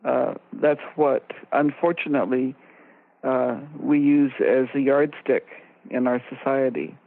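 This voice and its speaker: A woman co-hosting a radio discussion, explaining ideas in a measured way and stressing her key points. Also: rumbling low, steady tone, vocal fry. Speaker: radio show co-host and expert. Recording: narrow phone-like line, very dry.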